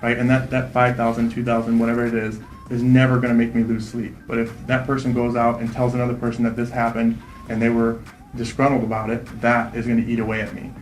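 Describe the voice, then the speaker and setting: A man in his thirties, a real estate investor, answering interview questions quickly and confidently, often tagging "right?" onto his points. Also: medium pitch, completely flat delivery, reverberant, slight background noise, rough recording. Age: 30s. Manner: fast, confident, repeats "right?".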